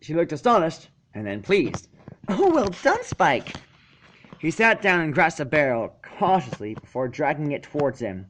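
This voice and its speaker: A man with a fairly high-pitched voice reads a story as an amateur narrator. His delivery is very lively and swings up and down, with small stumbles that he corrects as he goes. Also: normal speed, few pauses, slight background noise. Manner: stumbles over lines and self-corrects. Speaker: narrator and channel host. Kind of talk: amateur fan fiction reading with casual asides.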